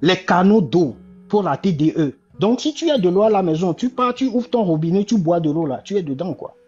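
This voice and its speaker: A man's voice: high-pitched, expressive, fairly quick, darkish tone, husky, dull audio, soft background music. A man, making impassioned political commentary and repeating key phrases with many restarts. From Togo. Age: 40s. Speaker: commentator on a live discussion.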